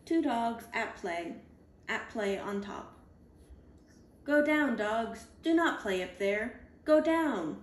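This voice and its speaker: A woman reading a children's picture book aloud, deliberately, in clearly spaced phrases for young children, recorded indoors at home. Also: a little high, lively intonation. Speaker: storybook reader. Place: indoors at home, on a leather couch. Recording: roomy home recording with background noise.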